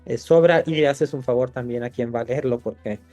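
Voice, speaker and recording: Screenwriter guest from Italy, male, relaxed dark timbre, reflective with restarts, faint music bed. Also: medium pitch, brisk, muffled recording. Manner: thoughtful, many restarts and fillers. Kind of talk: podcast interview.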